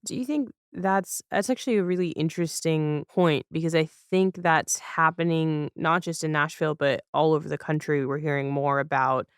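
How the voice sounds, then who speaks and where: Smooth, dry-sounding man interviewing casually. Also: a little high, steady tone, normal speed, few pauses, no echo. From Los Angeles, United States. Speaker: podcast host, interviewer.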